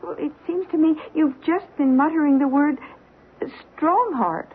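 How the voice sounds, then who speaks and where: An actress playing a polite, deferential secretary in an old radio drama, concerned and a little hesitant. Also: female voice, sits high, unhurried. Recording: muffled, faintly noisy old radio recording.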